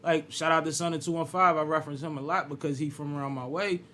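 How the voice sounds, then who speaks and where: A podcast host man with a Philadelphia accent who talks quickly and casually. His voice is a bit higher than most men's, and he slips his questions into easy back-and-forth chat. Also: fairly even intonation, fairly bright tone.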